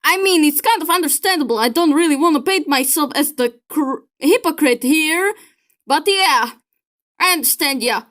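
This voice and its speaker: A woman's voice: sits high, expressive, moderate pace, fairly bright tone. A woman giving casual reaction commentary as a video creator, playful and teasing, with exclamations.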